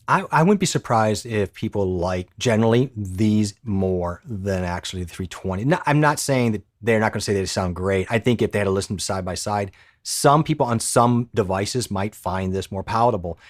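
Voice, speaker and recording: A man's voice: fairly low pitch, normal speed, no echo. A man co-hosting a live stream about gear, chatting casually and jokingly with lots of restarts, recorded on a pencil condenser mic in a home studio. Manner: joking, rambling, frequent restarts. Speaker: co-host of a tech live stream.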